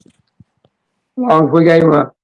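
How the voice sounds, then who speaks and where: A woman with a hoarse, raspy voice hosting a casual livestream chat in a rambling, halting, blunt way. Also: sits low, expressive, dull audio. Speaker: livestream host.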